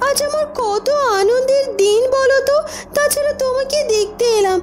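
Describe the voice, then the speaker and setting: A woman voicing a sugary sweet young maiden in a cartoon, with a gushing, drawn-out delivery. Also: extremely high pitch. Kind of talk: cartoon voice acting. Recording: loud music behind. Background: music.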